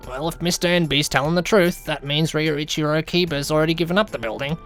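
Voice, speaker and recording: A man's voice: fairly high pitch, a little fast, fairly bright tone, faint music behind, pitched above the performer's own voice, close to an everyday voice. A man voicing a big, easygoing male detective who talks informally and straightforwardly in an audiobook. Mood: troubled. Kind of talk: audiobook character dialogue.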